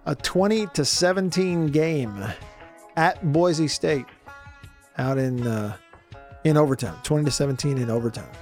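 A man hosting a sports radio talk show from a studio, hoarse today, chatty and jokey. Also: a little high, measured pace, a little mellow, soft background music. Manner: chatty, jokey, lots of fillers.

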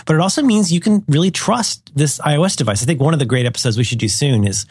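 A slightly hoarse man co-hosting a podcast, chatting casually in a rambling, self-deprecating way. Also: on the high side, fast talker, a little mellow, no room sound, clean studio sound. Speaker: podcast co-host. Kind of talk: casual chat.

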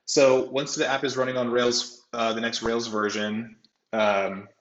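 A man talking casually as a technical podcast guest, halting and often correcting himself as he explains. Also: little pitch movement. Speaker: podcast guest, software developer. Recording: roomy, slightly dull low-fidelity audio.

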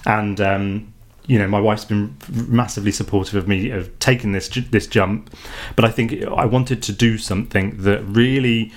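A man's voice: on the low side, fairly even intonation, moderate pace. A man chatting on a podcast in a hesitant way, with many ums and restarts. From United Kingdom. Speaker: podcast co-host. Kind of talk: casual podcast chat.

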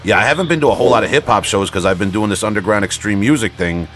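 A man hosting a podcast in casual conversation. His voice sits a bit low, and he rambles in loose slang, peppering it with "man" and "you know." There is slight noise on the recording. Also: very fast, fairly bright tone. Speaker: podcast host. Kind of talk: casual chat interview.

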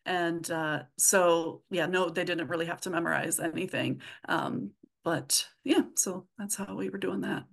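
Chatty woman with a lower-pitched voice. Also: unhurried, vocal fry, hardly any pauses, fairly bright tone. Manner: informal, chatty. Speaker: podcast creator, interview guest. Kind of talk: casual interview chat.

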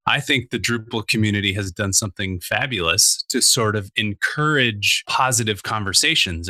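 Minnesota guy talking thoughtfully, lowish bright voice, dry close sound. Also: dry recording. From Minnesota, USA. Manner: reflective, thoughtful, some self-restarts. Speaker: panel guest, developer. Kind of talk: conversational panel talk.

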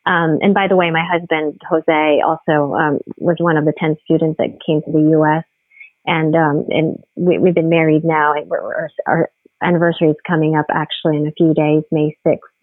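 A middle-aged woman from the Philippines, a company head, talking haltingly in a podcast interview with many fillers and restarts. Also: low voice, level tone, no echo. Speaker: guest, firm CEO. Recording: tinny phone-like line.

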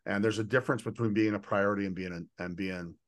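A man's voice: lowish voice, fairly even intonation, quick pace. A man hosting a conversational interview, speaking in an enthusiastic, affirming and emphatic way as he lays out his ideas. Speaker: podcast host and leadership coach.

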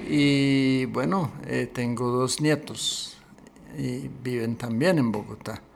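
An older man, sixties or above, telling his story as a pastor and interview guest in a measured, deliberate way with frequent pauses. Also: medium pitch, steady tone, vocal fry, slight background noise. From Colombia. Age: 60s or older.

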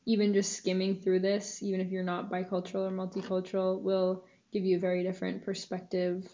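A young woman speaks as a guest on a podcast, earnest and thoughtful, pausing on fillers. Her voice is mellow and fairly flat in pitch. The recording is dull and lo-fi. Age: young adult. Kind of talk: casual podcast interview.

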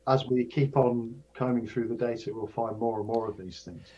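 An older man, a professor of astrophysics, explaining research in a measured way, often restarting and repeating his words. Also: medium pitch, fairly even intonation, mellow. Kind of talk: casual explanatory interview. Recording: dull lo-fi sound.

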